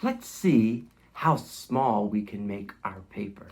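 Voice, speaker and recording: A man's voice: lowish voice, big pitch swings, takes it slowly, background noise. A man working as an educator, leading an interactive storytime for young children with simple guiding phrases and questions. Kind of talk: interactive children's read-along with movement prompts.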